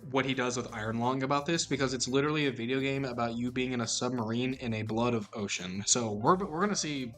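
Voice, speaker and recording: A man's voice: medium pitch, quick pace, few pauses, faint music behind. A young man co-hosting a podcast, chatting enthusiastically and jumping into the conversation. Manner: enthusiastic, jumps in often, yells when mimicking. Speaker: podcast co-host. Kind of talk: casual chat.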